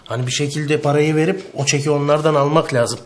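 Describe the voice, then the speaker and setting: A man's voice: on the high side, fairly even intonation, fast talker, lightly acted. A man in his thirties playing a proud, exasperated son in a TV comedy-drama, talking in a blustering, colloquial way. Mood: indignant, worried. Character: exasperated, proud working-class son explaining himself to family.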